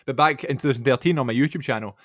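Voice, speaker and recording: A man's voice: mid-range voice, rapid-fire, fairly bright tone. A young man co-hosting a podcast, chatting in casual, informal banter about things he is a fan of. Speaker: podcast co-host.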